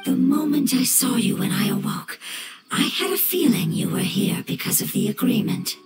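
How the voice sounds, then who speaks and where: Sorrowful, high, airy ancient creature. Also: female voice, animated. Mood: grateful. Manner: slow, solemn, formal wording. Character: gentle, sorrowful ancient creature, survivor of lost homeland. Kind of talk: scripted game character dialogue. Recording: background music under the voice. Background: music.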